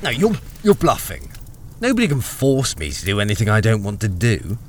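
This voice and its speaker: Man playing a deep-voiced, troubled deserter turned miner. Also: huge pitch swings, normal speed, slight background noise. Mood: defiant. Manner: hesitant, troubled, grim. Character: wary, cornered army deserter and miner, brooding hero. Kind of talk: radio crime drama acting with first-person narration.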